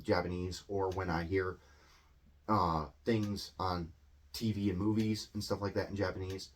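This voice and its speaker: A man, a native English speaker, casually explaining a language lesson in a rambling way, with many fillers and restarts. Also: low voice. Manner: rambling, filler-heavy, self-correcting. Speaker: English teacher.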